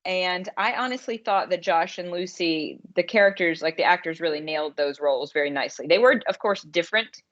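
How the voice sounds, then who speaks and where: A woman speaks as a podcast co-host in a casual, conversational style. Her voice sits a little low for a woman. The audio is slightly dull and lo-fi. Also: a little fast, few pauses, a bit bright. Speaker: podcast co-host and romance author.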